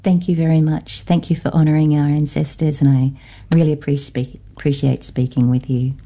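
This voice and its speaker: Low mellow woman w/ norfolk island accent, aussie tinge, slow thoughtful interview answers, lots of ums. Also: little pitch movement. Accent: Norfolk Island, Australian-influenced. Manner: thoughtful, hesitant, many fillers. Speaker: guest, academic. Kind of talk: radio interview.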